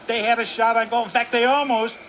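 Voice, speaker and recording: A man breaks down a hockey game on the radio with folksy, emphatic analysis. His voice is bright and sits high. A faint arena hum sits underneath. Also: flat delivery. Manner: folksy explaining, drawn-out emphatic phrases. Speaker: color commentator. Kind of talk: radio hockey color commentary. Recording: arena broadcast with faint noise.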